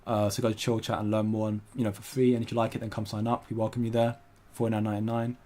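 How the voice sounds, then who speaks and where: A man's voice: fairly low pitch, level tone, quick pace, warm tone. A young man hosting a casual crypto livestream, rambling and hesitant with frequent restarts while he reads out viewer comments. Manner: rambling, hesitant, many restarts, laughs often.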